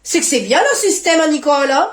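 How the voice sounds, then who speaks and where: A gossipy female town-hall clerk, acted by a woman in a comedy sketch, with an extremely high voice in an echoey room. She rambles in a folksy way, tacking little questions onto her phrases, and is cheerfully unhelpful. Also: animated, fairly quick. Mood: chatty, indifferent.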